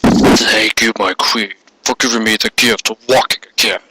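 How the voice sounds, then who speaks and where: Man as a low, hushed evil servant girl. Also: steady tone, moderate pace, heavy background noise, natural voice. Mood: cold, reverent. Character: submissive, coldly devoted female servant of evil.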